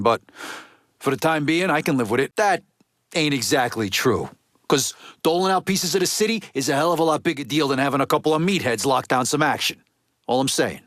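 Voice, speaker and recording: A gruff American mobster from a video game, voiced by a male actor, speaking in a medium register. He is blunt, streetwise and resentful, with profanity worked into his talk. The recording is dry, with no room around the voice. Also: mid-range voice, fairly bright tone, dry recording, lightly acted. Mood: blunt, wary. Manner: blunt, streetwise, heavy swearing.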